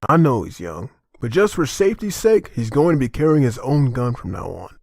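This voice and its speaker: A man's voice: medium pitch, sing-song, normal speed, creaky, no echo, studio quality. A man playing an earnest, protective father who leads survivors in an amateur comic dub. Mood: firm, announcing.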